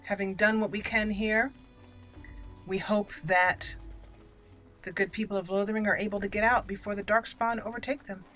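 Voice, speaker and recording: A woman's voice: medium pitch, flat delivery, moderate pace, a bit bright. A woman giving casual, chatty gaming commentary, thinking aloud and exclaiming as she plays. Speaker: gameplay video host. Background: game music.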